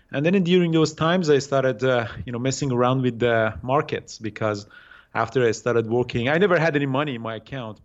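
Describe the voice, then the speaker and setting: A man in his thirties from Iran with an Iranian accent, answering interview questions haltingly with many fillers and restarts. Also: mid-range voice, muffled recording. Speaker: guest day trader.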